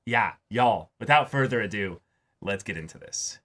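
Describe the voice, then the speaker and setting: A man's voice: on the low side, lively intonation, moderate pace, very noisy. A man hosting a casual reaction show, commenting enthusiastically and reading out viewer comments, often breaking into exclamations.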